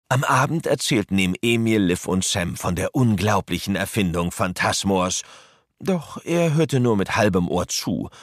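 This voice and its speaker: Children's audio drama narrator: teasing, animated, slightly low, builds suspense. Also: male voice, no room sound, studio quality. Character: playful male storyteller for children, teasing.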